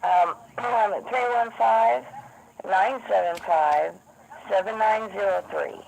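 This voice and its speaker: A hoarse woman who has lost her voice, insistently explaining a complaint over the phone to the police. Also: medium pitch, slow pace. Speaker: caller to police. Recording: noisy phone line recording.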